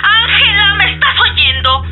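An actress playing a desperate, needy pill addict through a telephone filter in a radio drama. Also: female voice, extremely high pitch, sing-song, unhurried, raised voice, background noise, full character voice. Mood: desperate, demanding. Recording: tinny phone line, faint music.

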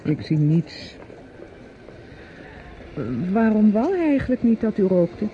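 Young niece, evasive and uneasy, mid-pitched with very mobile intonation; muffled old radio drama. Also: female voice, very animated, slow, warm tone. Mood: reserved, curious. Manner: hesitant, guarded, deflecting. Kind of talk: radio play dialogue. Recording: muffled, slightly noisy old radio play recording.

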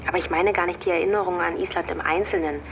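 An actress playing a wistful, gently needling girlfriend in a radio drama, speaking in a reflective, conversational way. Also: lowish voice, fairly even intonation, rapid-fire, creaky, a little hiss or hum. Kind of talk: naturalistic radio drama dialogue.

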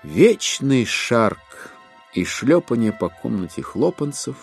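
A man narrating a literary audio drama in an expressive, theatrical way with deliberate dramatic phrasing. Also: mid-range voice, vocal fry, soft background music.

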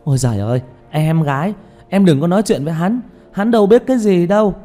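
A man voicing an excitable, star-struck young male sidekick who gushes and exclaims in an audiobook story. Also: high voice, quick pace, soft background music, pitched above the performer's own voice. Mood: fawning, eager. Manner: exclaiming, gushing, stammering with excitement. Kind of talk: audiobook character voice.

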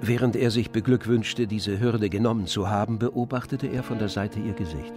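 A man narrating a literary radio drama in a measured, composed, knowing manner. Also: on the low side, fairly even intonation, music in the background, natural voice.

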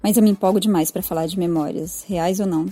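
A woman's voice: on the low side, fairly even intonation, fast, mellow, breathy edge, faint music behind. A Brazilian woman giving an informal spoken reflection, hesitant and self-deprecating as she goes. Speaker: podcast commentator.

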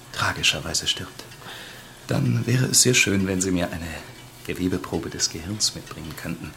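A low-voiced scientist explains things with polite, sinister calm. He is measured and matter-of-fact, in a radio drama scene with clear ambient noise. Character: sinister, courteous male scientist obsessed with brain samples.

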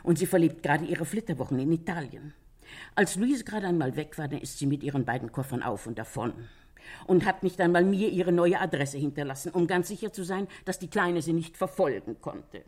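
Husky, low-voiced gossipy landlady. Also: animated, a little fast, a little mellow. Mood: lively, gossipy. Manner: chatty storytelling, quotes others animatedly. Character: talkative older Parisian landlady, gossipy but sympathetic. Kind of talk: German radio crime drama acting.

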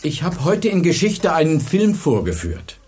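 Casting: driven history teacher, radio drama, high-set voice, earnest and teacherly. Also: male voice, wildly swooping pitch, moderate pace, a little mellow, a little hiss or hum. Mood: absorbed, pensive. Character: driven, thoughtful high school history teacher, married man. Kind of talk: German radio play acting.

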